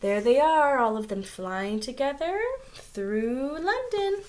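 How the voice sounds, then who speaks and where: A woman's voice: high-pitched, animated, takes it slowly, a little mellow, slight background noise. A woman reading a storybook aloud to young children, expressively, with little asides about the pictures. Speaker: storybook reader.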